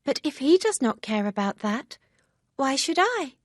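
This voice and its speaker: A woman's voice: high voice, very animated, unhurried, dry recording, clean studio sound, voice pushed up. A woman doing a bubbly, eager young woman.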